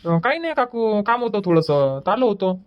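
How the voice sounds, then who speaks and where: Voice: medium pitch, very animated, moderate pace, clean tone, dull audio, lightly acted. A performer voicing a polite, apologetic young village man, who hesitates and says "uh" before he explains. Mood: explaining. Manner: apologetic, hesitant, starts lines with "uh".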